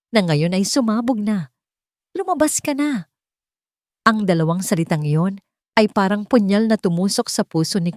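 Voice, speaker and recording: A woman's voice: medium pitch, a little mellow, no echo, clean studio sound. A woman narrating a scripted romantic melodrama in a dramatic storytelling style.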